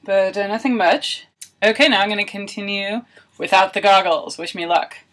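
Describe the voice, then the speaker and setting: A woman's voice: mid-range voice, steady tone, normal speed, a bit bright. A woman video blogger talking casually and thinking aloud, with wry, self-deprecating humour. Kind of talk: casual chatty vlog narration of a homemade experiment.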